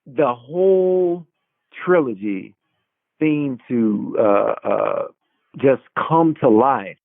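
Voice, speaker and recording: A man answering as an interviewed author, conversational, with a halting, deliberate delivery. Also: medium pitch, no echo. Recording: tinny narrow phone line.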